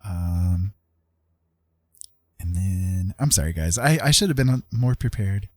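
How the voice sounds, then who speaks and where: A man's voice: low voice, slow, a little mellow, no echo. Casual man livestreaming, dry close sound. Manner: jokey, rambling, chuckling. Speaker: livestream host and artist.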